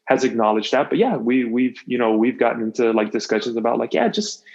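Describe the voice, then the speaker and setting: A man's voice: medium pitch, fairly even intonation, a little fast, vocal fry, a little mellow. A man in his thirties chatting openly and casually as a podcast guest, rambling and repeating phrases for emphasis. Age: 30s. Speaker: interview guest; motivational coach, podcast host, former athlete. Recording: muffled, dull lo-fi audio.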